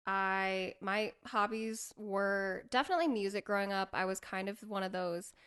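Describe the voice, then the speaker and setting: A woman in her twenties, co-hosting a podcast and chatting about herself in a relaxed way. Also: mid-range voice, moderate pace, smooth. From Colorado, USA. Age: 20s. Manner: relaxed, chatty, laughs between words. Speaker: podcast co-host. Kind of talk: casual chat.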